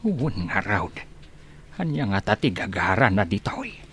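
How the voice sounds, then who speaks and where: A man's voice: on the low side, big pitch swings, unhurried, a bit bright, a little hiss or hum. A man playing an old, humble rural husband who herds cattle in an Ilocano radio comedy-drama, speaking in a drawl full of hesitant interjections. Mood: puzzled. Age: older.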